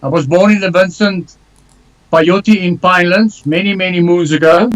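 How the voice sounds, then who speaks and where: An older man with a South African accent, co-hosting over a video call in a wry, brisk way. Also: high-pitched, dry recording, poor audio. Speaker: co-host.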